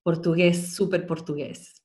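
A woman in her thirties with a Chilean Spanish accent, being interviewed and telling her life story in a lively, rambling way. She stacks up fillers, restarts and little asides as she goes. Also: lowish voice, a little slow. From Sweden. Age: 30s. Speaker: actress, interview guest. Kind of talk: casual interview.

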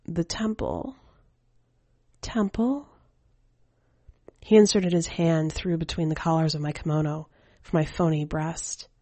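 A woman narrating scripted fiction as a story reader, with a measured, hushed delivery. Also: deep voice, level tone, dry recording. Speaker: podcast editor and story reader.

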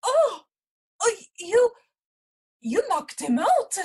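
A coquettish, scheming femme fatale from an old radio crime play. Her very high voice swoops melodramatically and takes its time, as if forever playing the victim. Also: wildly swooping pitch, very slow, a little mellow, exaggerated voice. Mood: whining distress.

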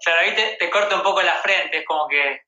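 A man's voice: a little high, fast, bright, forceful tone. An Argentine man hosting a casual livestream interview. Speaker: host interviewing a guest. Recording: echoey room, dull livestream audio.

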